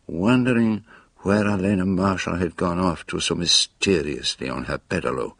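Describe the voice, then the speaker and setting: An actor playing a genteel, observant Belgian detective, narrating a radio drama in a measured, formal way. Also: male voice, deep voice, vocal fry, fairly bright tone, husky, no echo, full character voice.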